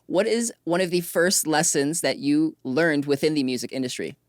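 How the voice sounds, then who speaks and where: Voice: medium pitch, moderate pace, dry recording, clean studio sound. An interview show host with an encouraging, chatty manner who tends to repeat short phrases. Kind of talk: upbeat interview hosting with scripted introduction.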